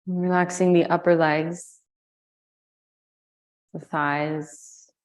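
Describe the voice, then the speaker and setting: A woman leading a guided meditation, giving slow, soothing instructions with long pauses. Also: fairly low pitch, level tone. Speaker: meditation and yoga teacher.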